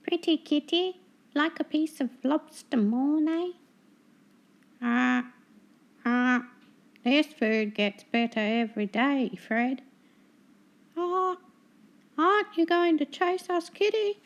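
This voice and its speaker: A woman's voice: sits high, slow pace, pauses often, dull audio, pitched above the performer's own voice. A woman voicing a greedy, cheeky male seagull in a children's storybook, gloating and teasing. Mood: mocking.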